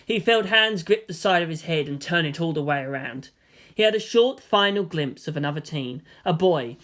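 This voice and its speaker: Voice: medium pitch, fairly bright tone. A narrator reading an action story aloud in a dramatic, scripted storytelling style.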